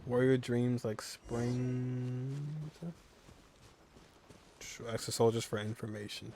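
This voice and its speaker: Slow-talking male gamer. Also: mid-range voice, flat delivery, noisy background. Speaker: gamer commenting on gameplay. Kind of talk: casual let's play commentary. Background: faint game music.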